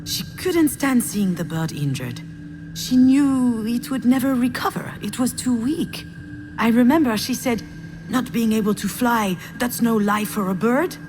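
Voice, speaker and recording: A woman's voice: a little high, expressive, measured pace, over music. A woman playing a troubled, sardonic screenwriter in a visual novel game, with a hesitant, wry and emotionally strained delivery. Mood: reflective, bitter. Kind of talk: naturalistic visual novel voice acting, introspective narration and dialogue.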